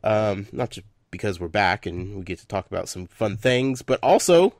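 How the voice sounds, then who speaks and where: A man's voice: fairly low pitch, lively intonation, normal speed, dry recording, studio quality. Stuffy-nosed man hosting a podcast. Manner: rambling, lots of uh and um fillers. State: stuffed up from a head cold. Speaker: podcast host. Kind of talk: casual podcast chat.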